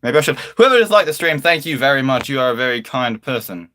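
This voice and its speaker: A man's voice: mid-range voice, sing-song, quick pace, pushed and bright. A man chatting casually to livestream viewers in an affected British-style accent, with mock-formal, flowery wording and a theatrical manner.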